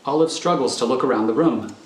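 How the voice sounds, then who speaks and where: A man's voice: mid-range voice, fast talker. A man narrating scripted scene directions for a radio thriller. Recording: echoey room, clear background noise.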